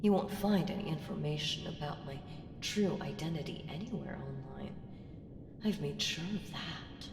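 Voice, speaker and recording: A woman playing an ancient evil entity in an ASMR audio roleplay, seductive, menacing and playfully condescending, with teasing, drawn-out murmurs that slip into whispers. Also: sits low, creaky. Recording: echoing reverb with music underneath. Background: music.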